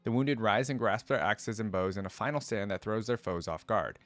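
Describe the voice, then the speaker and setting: Male reaction creator, lower-pitched, reads fantasy lore aloud with casual asides, dry close recording. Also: normal speed, a bit bright, dry recording. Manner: stops to dissect and paraphrase what he reads. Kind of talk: reading a story aloud with casual commentary.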